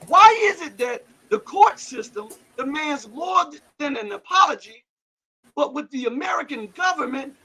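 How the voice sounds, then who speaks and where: A man hosting a political commentary livestream, whose voice cracks and breaks up. He reads viewer comments and builds to loud, heated rhetorical questions. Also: very high voice, animated, normal speed, rough recording. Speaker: livestream host.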